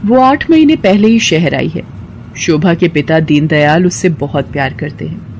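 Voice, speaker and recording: A woman's voice: low voice, expressive, a little slow, darkish tone, a little hiss or hum. A woman reading a scripted, dramatic story as its narrator.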